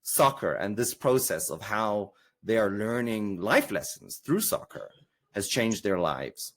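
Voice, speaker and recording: A man with a South African accent hosting an interview, talking enthusiastically and stumbling over his words as he gets excited. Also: fairly low pitch, measured pace. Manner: animated, enthusiastic, stumbles over word starts. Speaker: podcast host. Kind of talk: casual interview conversation.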